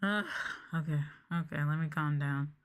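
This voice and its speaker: A young adult woman chatting casually on a livestream meant as soft ASMR, in a conversational, apologetic venting way. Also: low voice, normal speed. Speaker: streamer, ASMR VTuber.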